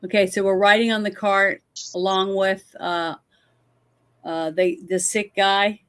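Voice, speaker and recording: A woman's voice: mid-range voice, little pitch movement, a little slow, a bit bright, smooth. A woman playing a tabletop game, talking casually through what her character wants to do.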